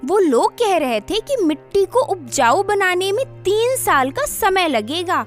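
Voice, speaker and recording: A woman voicing a caring, persuasive farmer's wife in a cartoon story, expressive and insistent. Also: very high voice, a little slow, faint music behind, exaggerated voice. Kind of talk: animated cartoon dialogue.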